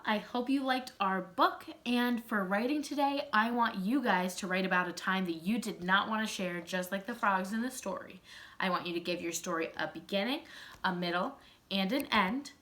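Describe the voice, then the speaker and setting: A woman speaking as a teacher in an expressive read-aloud style meant for children. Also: mid-range voice. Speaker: teacher reading aloud to pupils.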